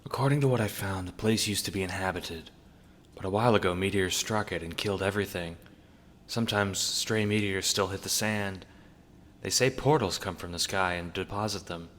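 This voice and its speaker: A man's voice: deep voice, fairly quick, fairly bright tone, pitched below the performer's own voice. A man voicing a blunt, matter-of-fact female messenger creature in an audio drama, plain and detached. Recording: long hall-like reverb.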